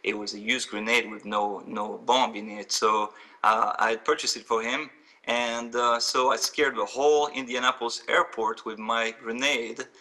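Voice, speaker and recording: A man, a guest telling stories in quick, earnest bursts full of fillers. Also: medium pitch, fairly even intonation, pushed and bright, recorded from a distance. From France. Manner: earnest, fast, with many fillers. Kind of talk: storytelling interview answers.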